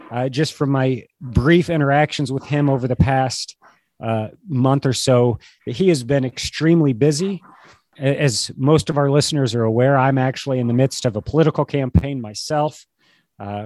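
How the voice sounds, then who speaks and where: A man co-hosting a podcast in casual conversation, a bit congested from a cold, speaking in an earnest, halting, wordy way. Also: mid-range voice, a little mellow, dry recording. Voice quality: slightly stuffy, congested. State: struggling with a cold. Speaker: podcast co-host. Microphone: video call.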